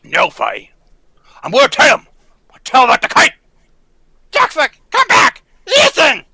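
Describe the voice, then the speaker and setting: A woman's voice: high voice, huge pitch swings, moderate pace, vocal fry, pushed and bright, no echo, studio quality, voice pushed up, exaggerated voice. A woman voicing a sinister, desperate circus tiger trainer in a radio play, drawing words out and swinging between whispers and cries. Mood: frantic, pleading. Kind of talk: live old-time radio play table read.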